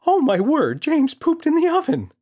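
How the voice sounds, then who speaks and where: A man's voice: extremely high pitch, huge pitch swings, normal speed, darkish tone, voice pushed up, exaggerated voice. A man voicing a prim, disapproving, easily shocked mother in a children's story, fussy and aghast.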